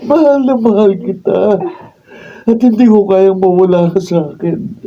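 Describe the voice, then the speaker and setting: A man acting as high-voiced, desperate jealous husband. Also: lively intonation, unhurried. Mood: desperate pleading. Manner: melodramatic, emphatic. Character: desperate, pleading, jealous middle-aged husband. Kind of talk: Filipino radio drama acting. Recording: muffled, distant microphone.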